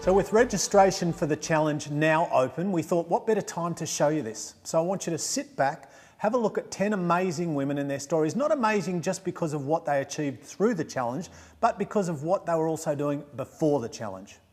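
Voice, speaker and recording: A man's voice: on the high side, expressive, fairly quick, a little mellow. An Australian man presenting a show to camera in an emphatic, motivational way. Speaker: host. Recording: long hall reverb, faint music.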